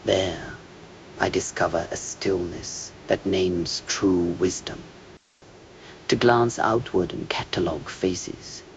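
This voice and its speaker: A man delivering a scripted meditative monologue in a measured, contemplative way, with hushed phrase endings that trail toward a whisper. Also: deep voice, steady tone, slight background noise, rough recording.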